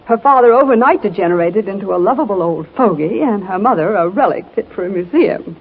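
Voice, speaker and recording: A middle-aged mother in an old radio drama. The voice is husky, articulate and cheerfully wry. The sound is that of a muffled vintage broadcast. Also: medium pitch, lively intonation, fairly quick. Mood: wry amusement. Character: cheerful, wryly tolerant mother of a teenage girl. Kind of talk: 1940s radio drama acting.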